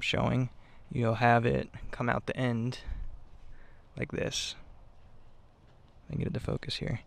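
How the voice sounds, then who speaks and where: A man talking through a hands-on installation in a casual, unscripted way, halting and offhand as he thinks aloud. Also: mid-range voice, vocal fry, fairly bright tone. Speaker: DIY installer giving a how-to. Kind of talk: casual unscripted tutorial narration.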